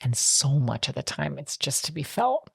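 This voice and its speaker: Low, gravelly-voiced woman in casual chat. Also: very animated, normal speed, no echo, studio quality. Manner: reflective, therapy-informed, frequent "like". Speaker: podcast co-host. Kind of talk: casual conversation about relationships.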